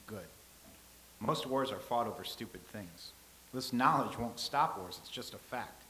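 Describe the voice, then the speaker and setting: Man, actor doing a lecturing calm scribe, lowish voice, staged reading. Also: a little hiss or hum, low-quality recording. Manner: measured, sage, lecturing. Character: calm, philosophical old-time male scribe.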